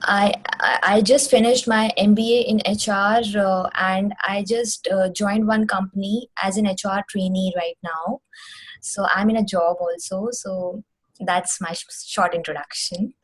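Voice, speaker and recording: Husky-voiced young woman from India. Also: medium pitch, fairly even intonation, measured pace, rough recording. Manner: hesitant, with fillers and restarts. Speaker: HR trainee presenting a webinar. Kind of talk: webinar presentation.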